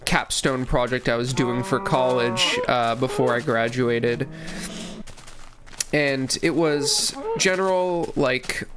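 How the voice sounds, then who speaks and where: Young male host, stuffy from a cold, loose rambling game commentary, average pitch, light background hiss. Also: a bit bright. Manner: rambling, restarts phrases, many fillers. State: congested from a cold. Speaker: gaming channel host. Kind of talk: casual commentary while playing.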